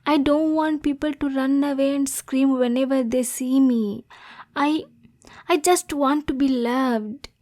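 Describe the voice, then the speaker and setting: Woman acting a slow-talking, high-voiced anxious demon girl. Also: fairly even intonation, a little mellow. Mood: pleading, tender. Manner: stammering, pleading, coaxing endearments. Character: lonely, anxious yandere demon girl, clingy and lovesick. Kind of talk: ASMR roleplay acting.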